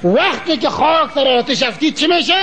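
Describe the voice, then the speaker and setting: An actor with a Kabuli Afghan accent playing a bossy, crafty fortune teller in a stage comedy, moving between incantatory pronouncements and curt scolding. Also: male voice, extremely high pitch, lively intonation, bright, forceful tone, exaggerated voice. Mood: bossy, impatient. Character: bossy, crafty male fortune teller, impatient and self-important. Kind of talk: theatrical stage comedy dialogue. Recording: old stage play recording.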